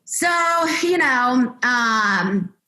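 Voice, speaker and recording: Podcast guest, woman, big projecting voice, bright and forceful, echoey room. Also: fairly high pitch, animated, drawn-out words. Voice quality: loud, projecting voice. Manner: candid, upbeat, laughs often. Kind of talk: casual podcast chat.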